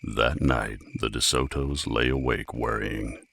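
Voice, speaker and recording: A man narrating a children's story in an exceptionally low, creaky voice. The tone is bright and forceful, and the storytelling is measured and expressive. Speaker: audiobook narrator.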